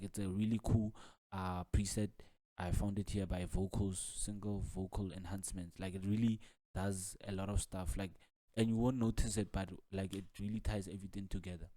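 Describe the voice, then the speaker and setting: A deep-voiced man talks through a music software tutorial in a relaxed, flat tone. He explains loosely and informally, often circling back over his words. The sound is close and dry. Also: no echo. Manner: casual, repetitive filler-heavy explaining with frequent "like" and "man".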